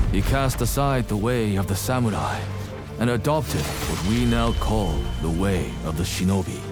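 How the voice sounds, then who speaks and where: Slow, solemn legend storyteller. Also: male voice, fairly low pitch. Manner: measured, grave storytelling. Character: solemn male storyteller recounting an old samurai legend. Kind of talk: dramatic scripted narration in a video game. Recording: narration over loud music.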